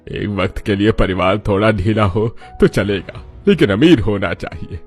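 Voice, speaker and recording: A man acts a worried middle-aged father in a cartoon family drama. His voice sits fairly low as he reasons earnestly, with music underneath. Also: animated, normal speed, breathy edge. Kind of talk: Hindi cartoon story dialogue. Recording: music bed behind the voice.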